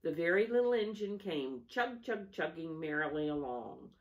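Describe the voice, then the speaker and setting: A woman reading a storybook aloud to young children, expressive, with asides to her listeners. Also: deep voice, moderate pace, muffled recording. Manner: expressive, asking listeners questions. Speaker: storybook reader.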